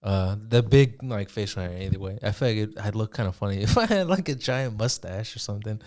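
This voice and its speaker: A man's voice: fairly low pitch, lively intonation, fairly quick, darkish tone. A man in his early twenties chatting casually as a podcast co-host, with a hesitant, rambling, slangy way of talking. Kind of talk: casual conversational chat.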